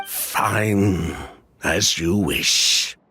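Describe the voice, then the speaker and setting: An actor playing a courteous, guarded merchant with a shady past in a video game, speaking in formal, deliberate phrases. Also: male voice, low-pitched, pushed and bright, breathy edge, full character voice. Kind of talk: English video game voice acting.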